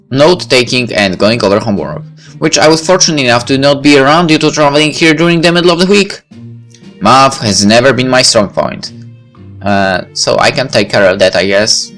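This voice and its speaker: A man's voice: mid-range voice, fairly bright tone. A young man reads a visual novel aloud with casual commentary, stumbling over words now and then. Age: young adult. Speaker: let's play host.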